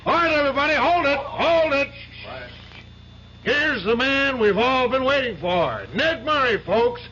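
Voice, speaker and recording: An actor playing a hearty older law-firm boss hosting a party in a 1970s radio drama, jovial and blustering. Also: male voice, very high voice, animated, measured pace, full character voice. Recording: dull radio broadcast, noisy party crowd. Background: loud party crowd chatter.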